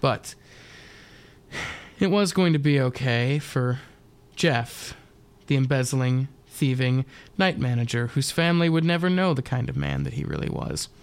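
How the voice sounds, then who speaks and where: A man narrating a scripted first-person dark comedy radio play in a deadpan, wry manner. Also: medium pitch, unhurried, vocal fry. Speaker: narrator and performer.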